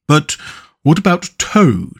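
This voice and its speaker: A man voicing a gentle male mole who talks in a worried, pleading way. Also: on the high side, sing-song, slow, husky, pitched above the performer's own voice, heavily acted. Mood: anxious.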